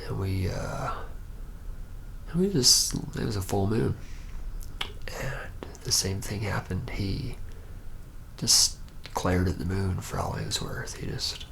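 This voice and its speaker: A young man playing a reluctant, awkward young vampire in an ASMR roleplay, murmuring haltingly and often close to a whisper. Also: low voice, sing-song, creaky, a bit bright, heavily acted. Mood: hesitant, uneasy recollection. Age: young adult.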